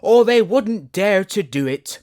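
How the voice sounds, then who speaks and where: A storyteller voicing a proud, bossy tiger lily flower in a loud, high, drawn-out scolding voice. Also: animated, close to an everyday voice. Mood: indignant.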